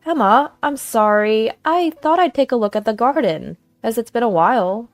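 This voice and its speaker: A woman's voice: fairly high pitch, lively intonation, moderate pace, no echo, faint music behind. A woman voicing a polite, worried and suspicious grandmother in an audio story, with a concerned, questioning manner. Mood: apologetic.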